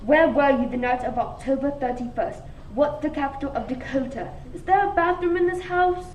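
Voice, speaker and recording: Actress as self-important, shady female detective, radio comedy: high-pitched, declamatory, roomy off-mic sound with faint noise. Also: moderate pace, lightly acted. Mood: brisk, officious. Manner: pompous, declamatory. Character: self-important, crooked female police inspector. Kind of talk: comic radio mystery play acting. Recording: echoey room, distant mic, faint noise.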